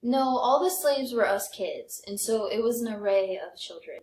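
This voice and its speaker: A woman's voice: medium pitch, lively intonation, moderate pace, darkish tone. A young American woman chatting casually and telling personal stories as a comedy podcast co-host. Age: young adult. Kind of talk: casual chat, personal storytelling. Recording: roomy, echoey podcast sound.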